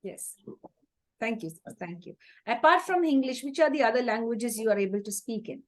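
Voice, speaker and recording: Lively, polite woman interviewer. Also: a little high, moderate pace. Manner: courteous, formal.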